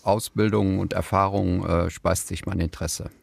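A man's voice: sits low, steady tone, normal speed, gravelly, husky. A man working as a foreign correspondent answers hesitantly, with many fillers. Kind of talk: recorded interview answer.

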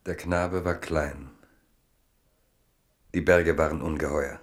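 Very deep, slow male narrator. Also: fairly even intonation. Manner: measured, solemn. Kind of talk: literary scripted radio play narration.

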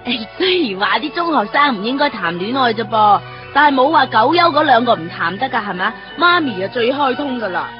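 A woman's voice: fairly high pitch, animated, a little fast, hardly any pauses, lightly acted. An actress playing a doting, coaxing middle-aged mother and eager matchmaker in a Hong Kong Cantonese radio drama. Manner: coaxing, self-satisfied. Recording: dull lo-fi radio drama audio. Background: music.

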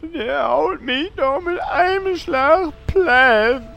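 A woman playing a cowardly, tearful warrior in a parody audio play, timid and halting like an overgrown child. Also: high-pitched, animated, creaky, a bit bright, full character voice. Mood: tearful, scared.

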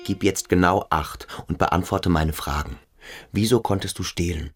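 An actor playing an intense teenage schoolboy who questions insistently in a radio play. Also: male voice, low voice, moderate pace, husky, soft background music. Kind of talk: German radio play acting.

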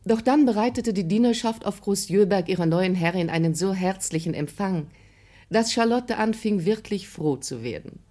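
A woman's voice: mid-range voice, lively intonation, normal speed, warm tone. A woman narrator reading scripted literary narration for a drama. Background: music.

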